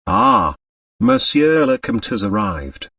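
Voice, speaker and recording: A man plays an anxious French manservant in a mystery audiobook. He is formal and obsequious, with animated pitch movement and a dry sound. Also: takes it slowly, gravelly, slightly rough, no room sound, recorded from a distance, exaggerated voice.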